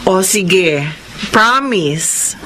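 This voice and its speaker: A woman's voice: mid-range voice, extremely slow, slight background noise, full character voice. An actress playing a devoted, playful young woman who gets easily flustered, in a Tagalog love story drama. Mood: amused, teasing. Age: young adult. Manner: expressive, with teasing and hesitant stammers.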